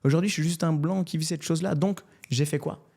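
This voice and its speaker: A French man in his thirties, a comedian, telling his life story in a casual interview in an animated, candid way. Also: a little high, fast, vocal fry. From France. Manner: animated and candid, often adds "tu vois". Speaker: comedian guest.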